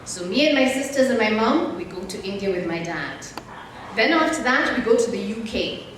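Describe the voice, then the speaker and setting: A woman's voice: mid-range voice, lively intonation, normal speed, a bit bright. A woman gives a motivational talk to young people in a church, telling stories conversationally and addressing her listeners directly. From born in Nairobi, Kenya, partly raised abroad. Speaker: guest speaker and mentor. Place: large echoey indoor church space. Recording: very echoey hall, distant mic, faint noise.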